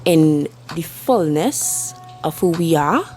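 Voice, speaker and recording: A woman leading a guided meditation with spoken affirmations, soothing and deliberate, leaving long pauses between short phrases. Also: deep voice, animated. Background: soft background music.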